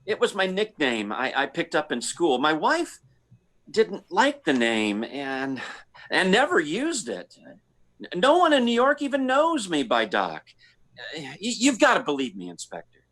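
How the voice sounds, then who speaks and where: A man playing a nervous husband in an old-time radio mystery, halting and theatrical. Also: a little high, huge pitch swings, a bit bright, exaggerated voice. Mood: pleading, panicked.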